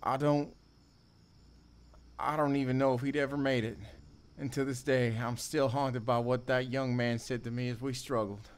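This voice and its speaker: An actor playing a remorseful drifter confessing a violent past in audio theater, speaking haltingly and broodingly. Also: male voice, mid-range voice, little pitch movement, clear, resonant tone, lightly acted. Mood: haunted, regretful.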